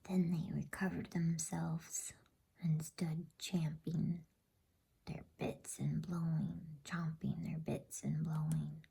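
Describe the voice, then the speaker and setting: A woman whispering an ASMR bedtime story as a storyteller, with a breathy voice. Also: deep voice, flat delivery, takes it slowly, dark tone, slight background noise.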